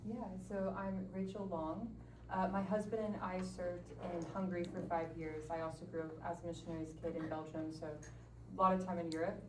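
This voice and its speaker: A woman's voice: fairly low pitch, little pitch movement, brisk. A woman in her late twenties, a missionary guest on a panel, speaking in a thoughtful, earnest way. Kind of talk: reflective panel talk. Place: large classroom. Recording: echoey room, mic far off, faint noise.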